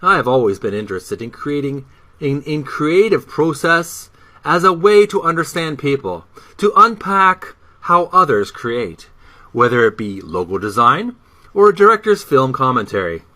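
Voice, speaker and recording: A man reading a book introduction aloud as narration, with deliberate, emphatic phrasing. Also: mid-range voice, very animated, bright, forceful tone.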